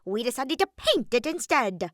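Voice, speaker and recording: A woman's voice: fairly high pitch, big pitch swings, moderate pace, fairly bright tone, dry recording, studio quality, voice pushed up. A woman voicing a nervous, sheepish male playing-card soldier in a storybook tale, with a flustered, stammering delivery. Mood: sheepish, eager.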